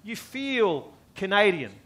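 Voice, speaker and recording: A man's voice: sits high, sing-song, takes it slowly, fairly bright tone. A man with an Australian accent preaching a sermon, posing questions to his listeners and repeating phrases for emphasis. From born in the US, lived most of his life in Australia. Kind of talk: expository sermon to a congregation.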